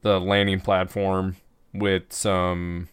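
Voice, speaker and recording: Smooth-voiced Midwestern podcast host. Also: male voice, low-pitched, little pitch movement, slow pace, dry recording. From American Midwest. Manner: laid-back, profane, wordy. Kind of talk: casual review chat.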